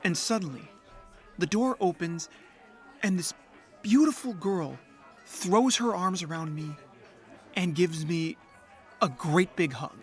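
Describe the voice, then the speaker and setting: A man's voice: high-pitched, takes it slowly, long pauses, soft background music. An actor playing an ordinary, lonely young man in an audio drama, earnest and a little hesitant. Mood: wistful, earnest. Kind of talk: audio drama dialogue and first-person narration.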